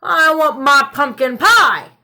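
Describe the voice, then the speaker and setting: Woman putting on a croaky, cranky ancient man. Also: high-pitched, expressive, slow, bright, forceful tone, full character voice. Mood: demanding. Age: very old. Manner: hollering, indignant, exclaiming. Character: cranky, bossy eight-hundred-year-old man, hungry for pie.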